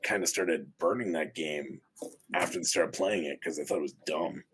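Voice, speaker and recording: A man hosting a casual panel discussion, talking in a halting, sardonic way. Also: a bass voice, a bit bright, slightly rough. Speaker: host of a panel talk show.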